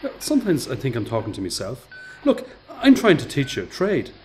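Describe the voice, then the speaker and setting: A man's voice: mid-range voice, wildly swooping pitch, a little fast, soft background music. An actor with an Irish accent playing a patient, kindly tradesman in a radio play, explaining and encouraging in informal speech. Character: patient, kindly male tradesman mentoring a young apprentice.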